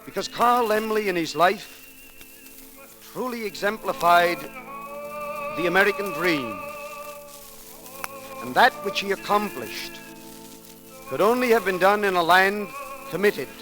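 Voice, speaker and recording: A man delivering a solemn, oratorical eulogy in formal measured phrases on a 1930s radio recording. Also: high-pitched, expressive. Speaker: eulogist at a memorial service. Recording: 1939 radio recording with faint music.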